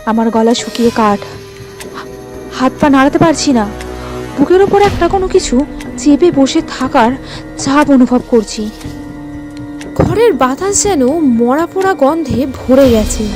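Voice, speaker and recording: A woman narrating a first-person horror story in a suspenseful, theatrical way with dramatic pauses. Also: high-pitched, animated. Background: music.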